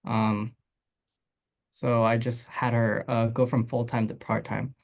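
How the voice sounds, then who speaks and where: A man's voice: mid-range voice, little pitch movement, takes it slowly, gravelly, a little mellow. A man speaking in an offhand, hesitant way on a casual panel.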